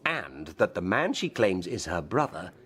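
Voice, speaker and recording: An actor playing a wry, polished, teasing Victorian gentleman who relishes gossip, in a radio drama. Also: deep voice, sing-song, moderate pace, breathy edge, exaggerated voice. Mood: relishing gossip. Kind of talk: period radio drama acting.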